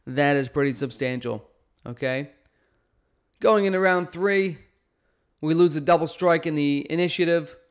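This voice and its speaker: A man's voice: on the high side, measured pace, pauses often, smooth. A man gives casual strategy commentary on a game, emphatic and often checking in with the listener. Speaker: gaming video host. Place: indoor room at home.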